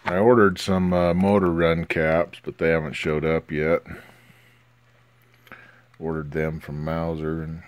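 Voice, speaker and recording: A man giving a casual, halting, low-key progress report on a repair, recorded on a phone at a workbench. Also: level tone. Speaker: hobbyist radio restorer. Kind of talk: casual narrated progress video. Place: indoors at an electronics workbench. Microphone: handheld phone.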